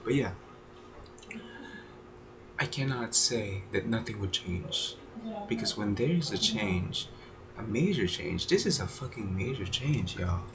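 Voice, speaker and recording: A man in his twenties thinking aloud in a casual video journal, halting with many fillers, restarts and casual swearing. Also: on the low side, lively intonation, noisy background. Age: 20s. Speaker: vlogger.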